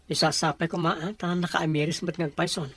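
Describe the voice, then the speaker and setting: A man's voice: on the high side, level tone, fairly quick, a bit bright. A man playing a respectful young groom-to-be in an audio drama, with an Ilocano accent. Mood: hopeful, respectful. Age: young adult.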